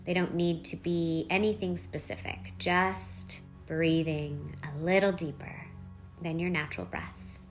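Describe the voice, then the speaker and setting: A woman leading a guided meditation, giving soft instructions in short phrases with long pauses between them. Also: lowish voice, fairly even intonation. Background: background music.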